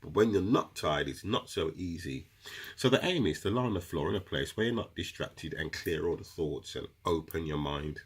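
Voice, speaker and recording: A man with a very deep, low voice and a husky edge, stuffy from a cold and a runny nose. He talks casually and directly to his viewers, explaining a practice step by step like a relaxed teacher. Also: moderate pace. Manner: chatty, addresses viewers as guys. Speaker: crystal seller explaining meditation. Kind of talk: casual instructional video talk.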